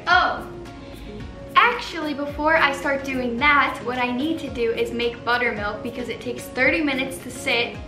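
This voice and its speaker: A teenage girl with a high voice talks through her baking steps in a chatty, easygoing way. She is recorded by a camera some distance away in a roomy home kitchen, with music playing underneath. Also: normal speed. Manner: chatty, explains each step while working. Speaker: baking vlogger. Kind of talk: casual baking tutorial. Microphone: far camera on the counter.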